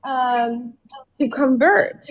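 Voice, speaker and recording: A woman from Iran, calling into a live debate and speaking hesitantly, with many pauses and fillers. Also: high voice, dull audio. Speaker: caller. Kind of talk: call-in debate.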